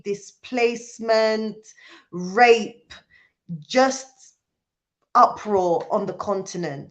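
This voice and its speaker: A British woman hosting a casual livestream, talking to viewers in an earnest, emphatic way. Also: medium pitch. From born in Jamaica, raised in the UK. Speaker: livestream host. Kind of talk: casual live chat with viewers, reading out comments.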